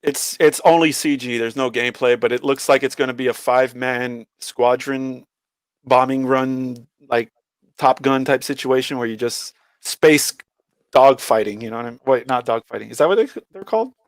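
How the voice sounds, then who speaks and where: A man's voice: medium pitch, moderate pace, dry recording. A man co-hosting a podcast in casual banter, talking in a slangy way and swearing freely. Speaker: podcast co-host.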